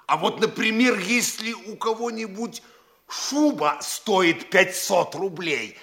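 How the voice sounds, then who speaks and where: Self-satisfied judge, bright edgy tone, lively slow delivery. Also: male voice, sits high, full character voice. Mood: smug. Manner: smug, argumentative, self-satisfied. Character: smug freethinking male district judge, middle-aged. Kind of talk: classic stage radio play acting.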